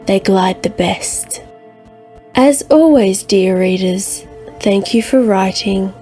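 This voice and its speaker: A woman playing an unflappable New Age advice columnist, reading letters in a deadpan comic way. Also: mid-range voice, slow pace, faint music behind, natural voice. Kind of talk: reading listener letters, satirical.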